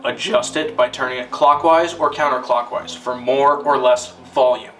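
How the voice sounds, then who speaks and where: A man narrating a scripted instructional film in a deliberate, formal, step-by-step manner. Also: medium pitch, fairly even intonation, fairly bright tone, recorded from a distance, faint music behind.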